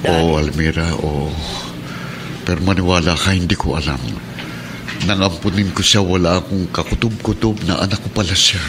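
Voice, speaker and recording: Actor as deep-voiced, earnest middle-aged father. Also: fairly even intonation, normal speed, background noise, lightly acted. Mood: earnest, reassuring. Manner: gentle, earnest, pleading. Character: remorseful, earnest father, police officer near retirement. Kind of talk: radio drama acting in Tagalog. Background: dramatic music.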